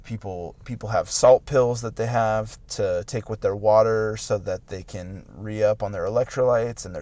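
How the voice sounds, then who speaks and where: A man in his late twenties rambling in casual podcast chat, full of "like" and restarts. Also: lowish voice. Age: late 20s. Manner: rambling, filler-heavy with "like" and restarts. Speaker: podcast co-host.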